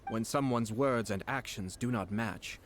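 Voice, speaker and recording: An actor playing a calm, calculating nobleman in a video game, speaking in a measured, formal, deliberate way. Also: medium pitch, a little hiss or hum, close to an everyday voice. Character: composed, cunning nobleman, calm and calculating commission head. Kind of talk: scripted video game dialogue. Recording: background music under the voice.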